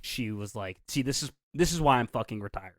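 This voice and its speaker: A man's voice: medium pitch, animated, fast, no echo, clean studio sound. A man in his thirties joking around as a podcast co-host, telling stories and acting out quoted voices. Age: 30s. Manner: jokey, playful storyteller who acts out quoted voices. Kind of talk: casual chat.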